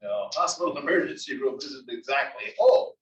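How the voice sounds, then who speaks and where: A man's voice: mid-range voice, lively intonation, moderate pace, natural voice. A man playing a grumbling, exasperated old husband with wry complaints in a comedy table read. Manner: complaining, exclaiming. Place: library room, table read. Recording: dull, echoey library room, distant mic.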